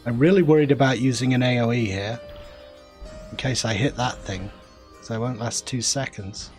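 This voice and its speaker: A man's voice: medium pitch, moderate pace, soft background music. Chatty man with a mild northern English accent. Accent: mild Northern English, softened by years in the south. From northern England. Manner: chatty, relaxed, musing aloud, reads and answers chat. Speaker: gaming streamer. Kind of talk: casual live-stream commentary while playing.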